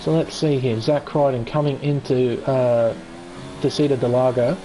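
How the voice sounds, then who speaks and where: A man's voice: mid-range voice, steady tone, moderate pace, dark tone, soft background music, rough recording. An Australian man giving chatty, enthusiastic live play-by-play commentary on an online racing league qualifying session. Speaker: esports race commentator.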